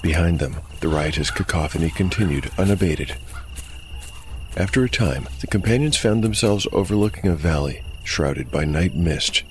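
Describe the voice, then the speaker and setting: A man acting as game master and narrator of a dark fantasy audio drama. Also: low-pitched, moderate pace, slight background noise.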